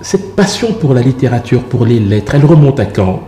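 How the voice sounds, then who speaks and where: A man hosting a television interview, putting polite, wordy questions to a guest in a measured, formal style. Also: medium pitch, big pitch swings, dark tone. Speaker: TV interview host. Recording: roomy echo, faint background noise.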